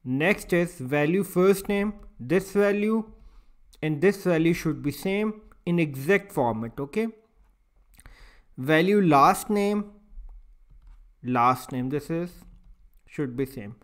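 Slow-paced man giving step-by-step instruction. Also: a little high, lively intonation. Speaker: software tutorial instructor. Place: voice-over for a screen recording.

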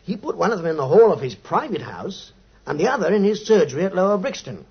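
Sheepish English detective, chatty, highish voice, 1950s radio drama, dull recording. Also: male voice, big pitch swings, quick pace, slightly rough, full character voice. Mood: relishing the tale. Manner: colloquial, hesitant, chuckling storyteller.

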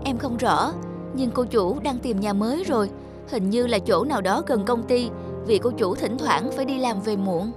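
Woman voicing a young female cartoon vehicle, high pitch, sweet, earnest, lovestruck, music underneath. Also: normal speed, close to an everyday voice. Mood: worried. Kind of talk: animated cartoon dubbing.

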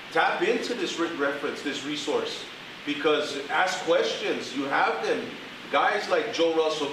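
A church member sharing in a men's group discussion, halting and restarting often. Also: male voice, on the high side. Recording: echoey room from far off with hiss.

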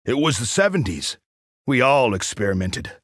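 An actor playing a cocky, wry assassin mercenary in a video game, delivering short quips in a dry, sardonic, boastful way. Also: male voice, medium pitch, sing-song, moderate pace, fairly bright tone, dry recording. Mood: wry, deadpan.

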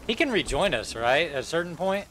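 Young man, casual gameplay chat, somewhat high voice, light game noise. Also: sing-song, fairly quick, fairly bright tone. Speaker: game streamer. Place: small room at home, indoors. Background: game storm and sea sounds.

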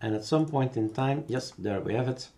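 A man with a Belgian accent giving a casual, unscripted software tutorial, thinking aloud as he works. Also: medium pitch, normal speed.

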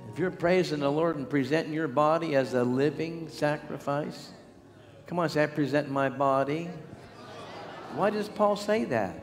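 An older man, a healing evangelist, praying and preaching with fervor on a large church stage, at times breaking into shouts and song. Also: fairly high pitch. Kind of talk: revival preaching and prayer. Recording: long hall reverb with music behind.

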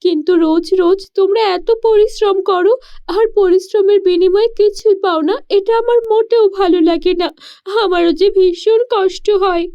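Woman voicing a high-pitched, spirited village girl. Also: steady tone, moderate pace, warm tone, highs cut off. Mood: concerned, resolute. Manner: earnest, protesting, questioning. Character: poor village girl, spirited, indignant, devoted daughter. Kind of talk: cartoon storybook character voice.

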